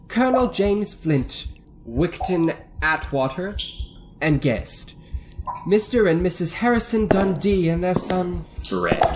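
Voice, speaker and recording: An actor playing a bitter, vengeful financier in a radio thriller, cold and deliberate with surges of excitement. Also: male voice, fairly high pitch. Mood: bitter, brooding. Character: bitter vengeful self-made financier holding his captives. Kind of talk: old-time radio thriller acting.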